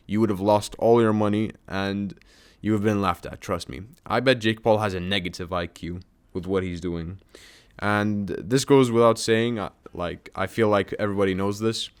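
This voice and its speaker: A man's voice: on the low side, clean tone. A man giving casual, mocking commentary while reading a news article, rambling with many fillers and restarts. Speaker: commentary YouTuber.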